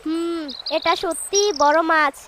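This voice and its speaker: A woman's voice: extremely high pitch, unhurried, bright, forceful tone, full character voice. A woman voicing an eager, teasingly boastful village wife competing with her co-wife in a cartoon fairy tale. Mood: competitive. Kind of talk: animated fairy tale voice acting. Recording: clearly noisy track with birdsong.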